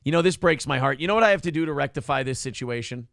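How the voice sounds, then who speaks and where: Gravelly brash male talk show host. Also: on the high side, expressive, fast, fairly bright tone, dry recording, clean studio sound. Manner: brash, profane, reads viewer donations aloud. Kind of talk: casual political talk show banter.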